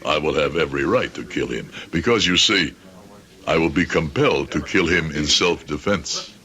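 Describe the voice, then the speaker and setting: An actor playing a grieving, vengeful shoemaker in an old radio drama, speaking formally and deliberately with cold determination. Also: male voice, a bass voice, animated. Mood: menacing resolve. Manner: formal, deliberate, rhetorical questioning. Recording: noisy vintage radio drama recording.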